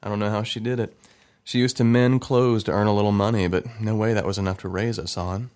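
A man voicing a grown son, thoughtful and wistful, recalling his childhood in a drama. His storytelling is unhurried and conversational. It sounds like a plain, everyday male voice. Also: lowish voice, fairly even intonation, darkish tone, natural voice. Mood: reflective. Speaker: narrator. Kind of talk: reflective dramatic narration.

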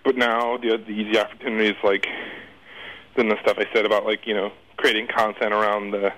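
A man's voice: fairly low pitch, little pitch movement, a little fast, fairly bright tone, low-quality recording. A man speaking as a guest in a casual interview, talking in a rambling, hesitant, informal way with some slang.

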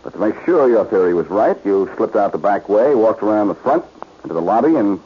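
An actor playing a shrewd, commanding amateur sleuth in 1940s radio style, with a husky voice. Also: male voice, fairly low pitch, very animated, a little fast, heavily acted. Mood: wary, commanding. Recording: muffled old radio recording.

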